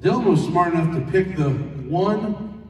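A man, a pastor giving a plain-spoken, animated sermon to schoolchildren, full of rhetorical questions. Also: high voice, measured pace. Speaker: pastor leading a children's chapel. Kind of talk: sermon to fifth and sixth graders. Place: large school gymnasium, indoors. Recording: echoey gym, distant microphone.

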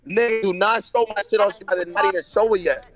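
A man's voice: high voice, fairly even intonation, fast talker, faint music behind. A man calling into a livestream from his car, joking and insistent. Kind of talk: casual livestream banter. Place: parked car.

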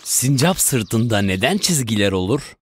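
A man's voice: mid-range voice, big pitch swings, measured pace, slight background noise. A man narrating a scripted children's animal story as the storyteller. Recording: music behind the voice. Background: background music.

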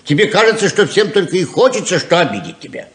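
A man's voice: sits high, big pitch swings, quick pace, fairly bright tone. An actor playing a stubborn, loving middle-aged father in a 1970s Soviet radio play, emphatic and persuasive, moving between tenderness and insistence. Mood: tender insistence. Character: coaxing, stubborn, loving father of grown children. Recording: roomy 1970s radio drama recording.

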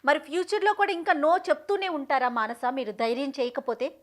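A woman's voice: high voice, brisk. A woman hosting an advice show, speaking conversationally in an urging, insistent manner.

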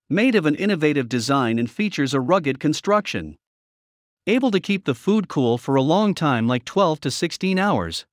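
A man's voice: on the high side, dry recording, studio quality. A man narrating a scripted product review list as a voice-over.

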